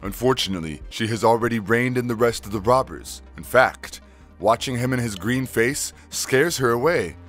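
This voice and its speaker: A man with a medium-pitched voice delivers scripted recap narration. He works as a video narrator, with faint music playing behind him. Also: expressive, moderate pace, a bit bright.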